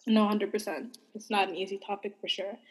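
A woman's voice: mid-range voice, steady tone, a little fast, a little mellow, husky, low-quality recording. A woman hosting a casual discussion, conversational and full of fillers as she leads the talk. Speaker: podcast host.

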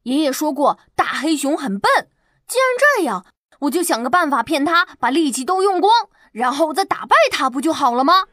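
A man's voice: very high-pitched, animated, moderate pace, bright, forceful tone, dry recording, clean studio sound, pitched above the performer's own voice, heavily acted. A man voicing a small, clever and brave boy in a children's storybook, speaking in a cheeky, taunting way. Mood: scheming.

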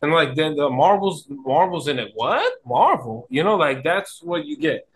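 A man's voice: a little high, very animated, moderate pace. A man in casual podcast banter, talking emphatically with slangy phrasing and repeating himself to make a point. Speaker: podcast guest. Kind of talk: casual banter about movies and games.

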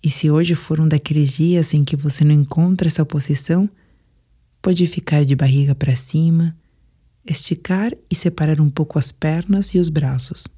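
Woman, Brazilian accent, low-pitched, warm dark tone, calm measured sleep-meditation narration, softly breathy endings. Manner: calm, soothing, measured delivery. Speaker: guided meditation instructor.

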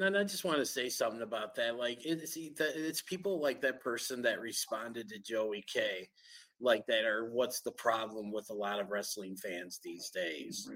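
A man's voice: medium pitch, sharp tone. A man co-hosting a casual live podcast, eager and rambling, often restarting his sentences. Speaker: podcast co-host.

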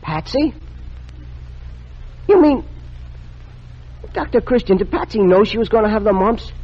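An eager, husky-voiced teenage boy. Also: sits high, lively intonation, measured pace, heavily acted. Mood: earnest. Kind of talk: 1930s radio drama acting. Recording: dull lo-fi old radio recording.

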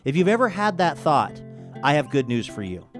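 A man's voice: fairly high pitch, big pitch swings, normal speed. Enthusiastic male radio host over guitar music. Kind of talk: upbeat show promo and interview talk.

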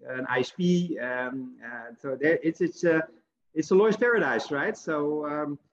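A man with a slightly higher voice hosts an interview, halting and filling pauses with many "uh"s as he restarts his questions. His voice comes through dull, lo-fi video-call audio. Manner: hesitant, many fillers and restarts. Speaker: interview host. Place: home in the Netherlands.

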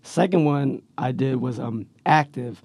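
A man with a raspy voice, talking slangy and laid-back as a rapper guest in a casual interview, with lots of fillers. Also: mid-range voice, unhurried, darkish tone, no room sound. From Central Valley, California.

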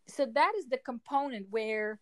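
A woman's voice: a little high, moderate pace, crowd noise. Dutch-born woman with an American-sounding accent. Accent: American-sounding English. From Netherlands. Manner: emphatic and animated, restarts phrases while building a point. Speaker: podcast guest. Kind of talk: casual conversation.

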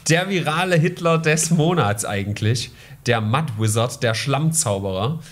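A man's voice: medium pitch, lively intonation, moderate pace. A man hosting a casual podcast, chatting with a joking, ironic tone. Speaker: podcast host.

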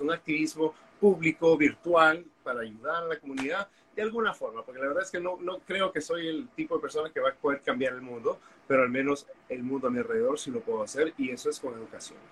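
A man's voice: a little high, fairly bright tone, slight background noise, low-quality recording. A man, a guest telling his story candidly in an interview over a video call. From Honduras. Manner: candid, storytelling, frequent fillers. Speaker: guest, activist. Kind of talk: interview conversation.

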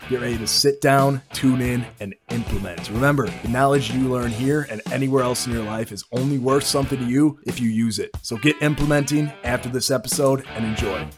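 A man's voice: medium pitch, fairly quick, hardly any pauses, over music. A man hosting a podcast, upbeat, with plenty of filler words as he questions his guest. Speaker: podcast host.

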